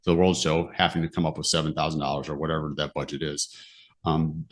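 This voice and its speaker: A man's voice: a bass voice, level tone, fast talker. A middle-aged American man chatting casually and giving advice, thinking aloud in short, hesitant phrases. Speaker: podcast guest, amateur horse owner.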